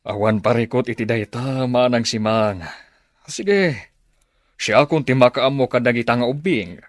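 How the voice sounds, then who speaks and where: A man playing an obliging, wealthy older gentleman in an Ilocano radio drama. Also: medium pitch, moderate pace, dry recording, studio quality, natural voice. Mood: generous.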